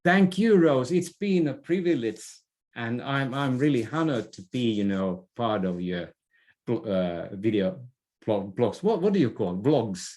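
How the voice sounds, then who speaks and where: A man's voice: mid-range voice, animated, a little slow, darkish tone. A middle-aged man gives advice in a conversational interview, often hesitating and repeating words. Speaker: podcast guest, business expert.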